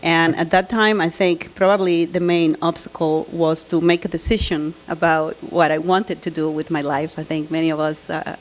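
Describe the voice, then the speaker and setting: A middle-aged woman from the Dominican Republic, a native Spanish speaker with a Dominican Spanish accent, addressing an audience in a measured, earnest way with deliberate pauses. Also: sits low, fairly even intonation, dull audio. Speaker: college administrator and job candidate. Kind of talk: public address and candidate question-and-answer.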